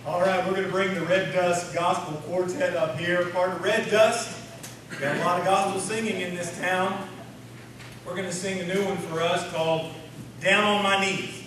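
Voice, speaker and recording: Man playing a high-voiced folksy radio host. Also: fairly even intonation, normal speed, fairly bright tone. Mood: folksy, presenting. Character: folksy small-town male radio show host. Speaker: master of ceremonies. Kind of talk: live radio show announcing. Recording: echoey hall, distant mic, faint noise.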